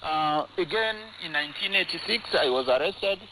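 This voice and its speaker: A middle-aged or older man with a Kenyan accent, recalling the past haltingly in a radio interview. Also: on the high side, animated. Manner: hesitant, halting delivery. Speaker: interviewee, former student activist. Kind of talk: recollection in an interview. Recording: noisy, hissy shortwave radio interview clip.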